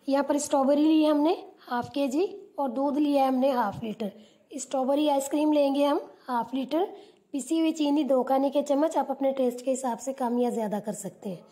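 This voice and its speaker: High-voiced woman with soft, mellow tone. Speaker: cooking channel host. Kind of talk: step-by-step recipe narration. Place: home kitchen.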